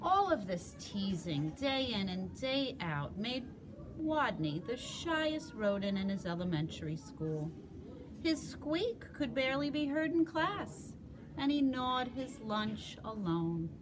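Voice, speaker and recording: A woman narrates a children's picture book slowly, with very lively pitch. She leans on key words and pauses for drama, and there is clear background noise. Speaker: storybook reader. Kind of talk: expressive children's read-aloud narration.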